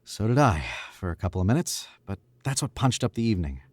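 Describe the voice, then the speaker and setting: Casting: a man as a cocky young killer with a lowish voice, wide expressive pitch, crisp theatrical delivery. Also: fast talker, no echo. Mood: scheming. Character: smooth, arrogant young male murderer host, nervous. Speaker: radio play actor. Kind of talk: old-time radio drama recreation.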